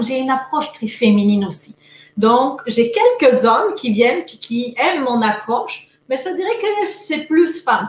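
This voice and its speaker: A woman's voice: fairly high pitch, roomy sound. A woman from France with a Montpellier accent blended with Québécois expressions, chatting upbeat as a coach being interviewed. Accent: Montpellier French mixed with Québécois. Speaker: productivity coach. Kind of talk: casual interview. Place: Québec.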